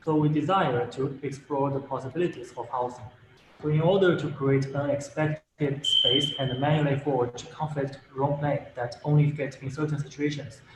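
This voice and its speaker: Young man, echoey call. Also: medium pitch. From Shanghai, China. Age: young adult. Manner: steady explanatory delivery with fillers. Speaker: graduate student presenting. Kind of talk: thesis presentation. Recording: echoey room with faint noise.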